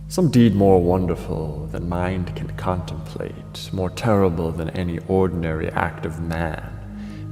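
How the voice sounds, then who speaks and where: An actor playing a vengeful king tormented by rage in a classical tragedy, declaiming gravely and theatrically. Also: sits low, exaggerated voice. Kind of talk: classical tragedy monologue, declaimed audio drama. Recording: long hall reverb, music underneath. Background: dramatic music.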